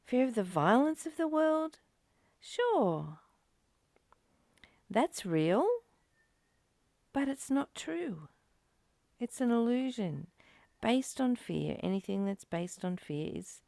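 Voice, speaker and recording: A woman speaks slowly as a spiritual teacher, in a voice that often drops into creak. Her pitch rises and falls widely as she reads and reflects, earnest and emphatic in how she puts each point. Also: very animated, warm tone, dry recording. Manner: earnest, emphatic, with rhetorical questions and repeated phrases. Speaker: spiritual teacher leading a study of a course text. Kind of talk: spiritual teaching, reading aloud with reflective commentary.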